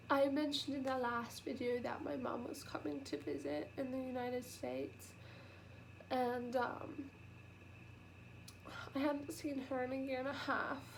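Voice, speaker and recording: A young woman with a cold, sniffly and nasal, talking softly and haltingly in a personal vlog, close to tears. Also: high voice, vocal fry, a little hiss or hum, rough recording. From United Kingdom. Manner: halting and soft-spoken, with many pauses and fillers. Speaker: vlogger. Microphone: camera.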